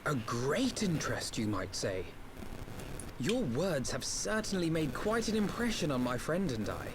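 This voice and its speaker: Game role: shrewd young gentleman. Slightly high, animated voice, formal and coolly challenging. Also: background noise. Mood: cool, pointed. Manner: formal, measured, pointed. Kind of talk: video game cutscene voice acting.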